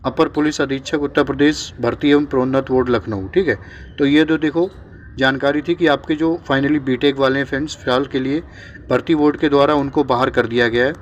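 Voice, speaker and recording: A man's voice: mid-range voice, fairly even intonation, brisk, muffled recording, slight background noise. A man presenting exam updates informally to candidates, repeating himself with check-in tags, mixing in English words and sounding reassuring. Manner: mixes English words into speech.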